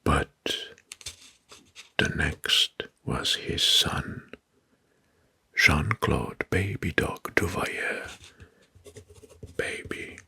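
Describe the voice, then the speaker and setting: Breathy whispering man, ASMR narration. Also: low voice, animated. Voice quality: breathy, mostly unvoiced whisper. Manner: hushed, deliberate, repeats phrases slowly. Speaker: ASMR host.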